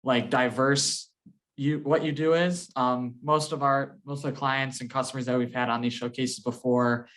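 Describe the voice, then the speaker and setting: A man's voice: medium pitch, steady tone, normal speed, fairly bright tone, poor audio. A man hosting a casual interview, friendly and loose, with lots of "you know" and "um". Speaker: host.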